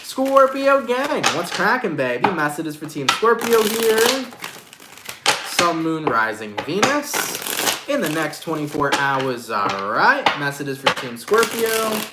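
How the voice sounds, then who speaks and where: A man doing a casual tarot reading in a lively, slangy, affectionate way, sounding congested and nasal. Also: on the high side, takes it slowly, roomy sound, heavy background noise. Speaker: tarot reader.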